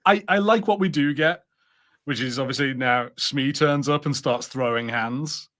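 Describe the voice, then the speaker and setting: A man's voice: mid-range voice, normal speed, fairly bright tone. A man co-hosting a casual review podcast, musing conversationally and a little hesitantly.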